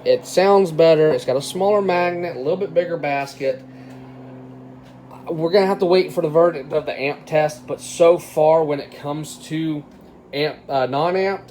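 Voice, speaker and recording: Guy with a slightly high voice doing a laid-back gear review, talking off the cuff, some background noise. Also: a little mellow. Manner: informal, addresses viewers as guys. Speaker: car audio product reviewer and video host. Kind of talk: casual unscripted product test commentary. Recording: clearly noisy background.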